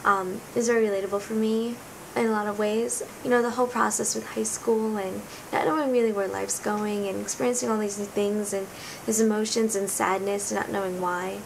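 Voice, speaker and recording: A sixteen-year-old girl with a raspy voice gives an earnest, rambling book review, often searching for words. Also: steady tone, brisk, poor audio. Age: teens. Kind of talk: casual book review vlog. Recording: noticeable rumbling background noise.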